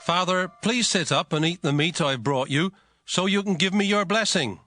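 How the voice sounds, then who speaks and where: Hot-headed wronged eldest son: high register, emphatic scripture-drama delivery over music. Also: male voice, normal speed, bright, forceful tone. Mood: eager.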